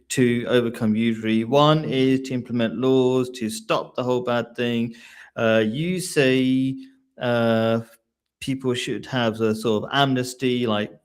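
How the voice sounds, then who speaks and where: A man's voice: mid-range voice, clean tone. A man from England hosting a live online discussion, asking questions and often restarting his sentences. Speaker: host.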